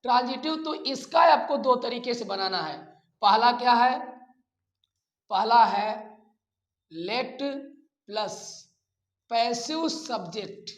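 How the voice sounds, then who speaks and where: A man's voice: very high-pitched, lively intonation, slow, pauses often. A man with an Indian accent teaching a grammar lesson, dictating phrase by phrase with repetition and rhetorical check questions. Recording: echoey room.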